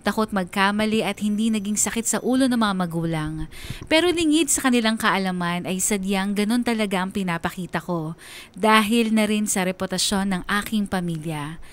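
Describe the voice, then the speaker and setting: A woman with a Filipino accent narrating a scripted radio drama in a storytelling style. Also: mid-range voice.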